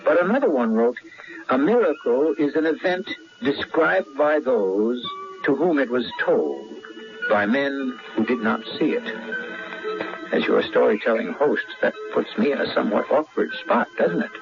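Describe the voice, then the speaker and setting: A man narrates as the storytelling host of a radio mystery series. His delivery is measured, literary and dramatic, with lively intonation, on a dull vintage broadcast recording with music. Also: medium pitch, creaky.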